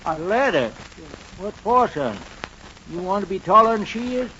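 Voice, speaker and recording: A man's voice: high-pitched, expressive, normal speed, bright, forceful tone. An actor playing a rambling, folksy older married man in a 1940s radio comedy. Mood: rueful, deadpan. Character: rambling, long-married older neighbor man, drily comic. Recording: muffled, hissy 1940s radio recording.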